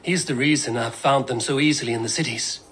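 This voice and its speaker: An actor playing a grim Viking raider who speaks gruffly, bluntly and sternly. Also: male voice, mid-range voice, fast talker, a bit bright, lightly acted. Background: music.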